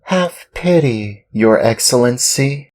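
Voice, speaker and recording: A man's voice: mid-range voice, animated, slow pace, a little mellow, pitched above the performer's own voice. A man reading a storybook and voicing an earnest wooden marionette boy with a raised, pleading voice.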